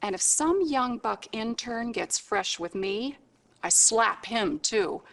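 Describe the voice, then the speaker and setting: A woman's voice: fairly high pitch, lively intonation, slow, gravelly, a bit bright, full character voice. An actress playing a sassy, no-nonsense admitting nurse in a video game, bossy and informal in the way she talks. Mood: wry, instructive. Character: brassy, wisecracking, no-nonsense hospital admitting nurse. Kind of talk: scripted video game cutscene acting.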